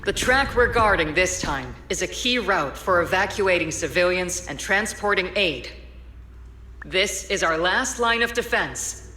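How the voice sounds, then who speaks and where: Forceful female military captain. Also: lowish voice, lively intonation, unhurried, echoey room, faint music behind. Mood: resolute. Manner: firm, authoritative, clipped military delivery. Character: steady, commanding female military captain rallying soldiers. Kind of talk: video game voice acting.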